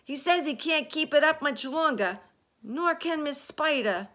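An excitable storybook centipede as a woman reader plays it yells urgently. The pitch is shoved well up and swings widely. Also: unhurried, heavily acted. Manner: loud, urgent yelling.

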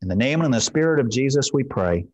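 A man in his fifties preaching informally as a pastor in a measured, gently encouraging way. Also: medium pitch, expressive. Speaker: pastor leading a church service. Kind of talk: informal sermon and prayer. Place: church sanctuary, at a wooden pulpit.

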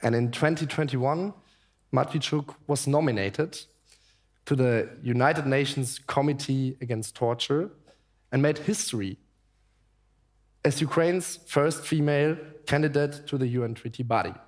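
A young man reading a scripted guest introduction on a conference stage in a steady delivery. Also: medium pitch, measured pace. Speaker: co-presenter. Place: large conference stage before an audience.

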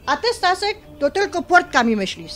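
An actress playing a bossy, pious middle-aged mother with a Polish highlander accent and a blunt, scolding manner in a radio drama. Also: sing-song, moderate pace, a bit bright, faint music behind, heavily acted. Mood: bossy, grumbling. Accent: Polish highlander (Podhale).

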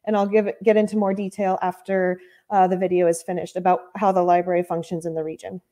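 An American woman in her thirties presents over a laptop mic on a video call. Her voice is mellow and warm rather than bright. She explains carefully, correcting herself now and then. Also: on the low side, little pitch movement, fast talker. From United States. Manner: explanatory, with occasional self-corrections. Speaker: librarian and conference speaker.

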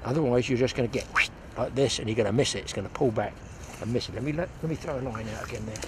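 A man's voice: medium pitch, very fast. Older man teaching fly fishing outdoors. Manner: chatty, hands-on, thinking aloud. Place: outdoors on a lakeside bank. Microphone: clip-on mic outdoors, some wind. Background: wind and outdoor ambience.

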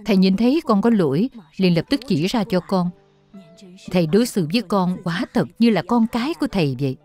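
A woman's voice: mid-range voice, expressive, fairly quick, dark tone, soft background music, natural voice. A woman voicing an earnest, deferential disciple who puts eager questions to her teacher in a cartoon, with echoey reverb. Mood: grateful. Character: earnest, respectful woman disciple of an old monk. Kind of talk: dubbed animated religious biography.

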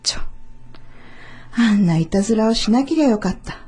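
A woman's voice: on the high side, expressive, moderate pace, dark tone, slightly rough. A woman voicing a lonely, mischievous young male fox in a storybook, musing to himself. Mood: remorseful.

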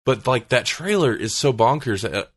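A man's voice: medium pitch, animated, normal speed, breathy edge. A man co-hosting a podcast in casual chat, reacting emphatically and repeating himself for emphasis. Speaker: podcast co-host.

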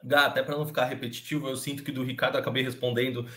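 Fast-talking, emphatic young Brazilian man. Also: mid-range voice, a little hiss or hum. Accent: Brazilian Portuguese. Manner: animated, opinionated, stresses points emphatically. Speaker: podcast panelist. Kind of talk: casual chat about motor racing.

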